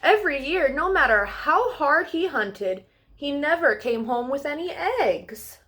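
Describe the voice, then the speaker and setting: A woman's voice: high voice, very animated, unhurried, fairly bright tone. A woman hosting a children's storytime, reading aloud and addressing young listeners in a sing-song way.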